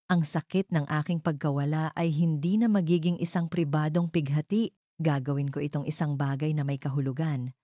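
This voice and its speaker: A woman reading a dramatic first-person story as a narrator, in a measured, deliberate way. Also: low voice, mellow, dry recording, studio quality.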